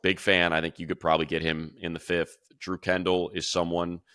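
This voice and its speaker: A man's voice: deep voice, level tone, brisk, pushed and bright, clear, resonant tone, dry recording, clean studio sound. A man giving casual, opinionated football draft commentary as a radio analyst, talking conversationally and thinking aloud. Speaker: sports radio announcer and analyst.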